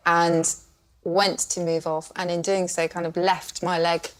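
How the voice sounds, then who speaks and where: A woman's voice: fairly low pitch, little pitch movement, a little slow. A woman telling a personal story as a guest on a comedy panel show, explaining things in precise, wordy detail. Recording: faint studio audience behind.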